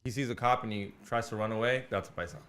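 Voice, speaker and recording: Fast-talking joking man. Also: on the low side, fairly even intonation, fairly bright tone. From Mexico. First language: Spanish. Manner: rapid, joking, slangy, swears freely. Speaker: co-host. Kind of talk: casual podcast banter.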